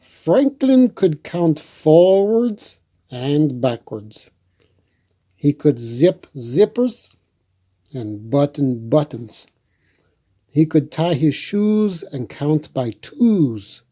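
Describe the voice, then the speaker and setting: A man's voice: fairly high pitch, sing-song, extremely slow, warm tone. A man hosting storytime, reading a children's book and adding folksy, chatty asides. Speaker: storyteller and host.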